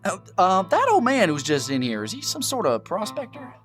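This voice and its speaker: An actor playing a scheming, bossy American bandit in an audio drama, with an impatient folksy frontier drawl. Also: male voice, high-pitched, huge pitch swings, moderate pace, a bit bright, soft background music, full character voice. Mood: sly. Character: scheming, bossy, dim-witted bandit brother, male.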